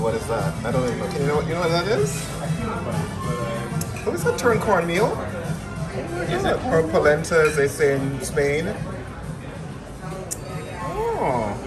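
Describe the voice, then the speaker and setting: A man chatting casually and exclaiming over dishes at a busy restaurant brunch, with loud music and crowd noise behind. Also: mid-range voice. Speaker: brunch guest filming. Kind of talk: casual chat.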